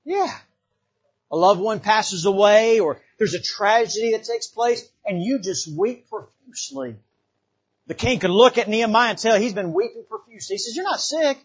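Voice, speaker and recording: A fervent man from the Bahamas preaches a revival sermon in church, his voice high and a little husky. He repeats himself and calls out amen often, and the recording sounds slightly dull. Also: animated, moderate pace.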